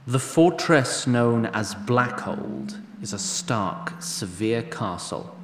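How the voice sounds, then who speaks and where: A man narrating a scripted fantasy audio drama in a measured, dramatic storytelling manner. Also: fairly low pitch, very animated, creaky, echoey room, exaggerated voice.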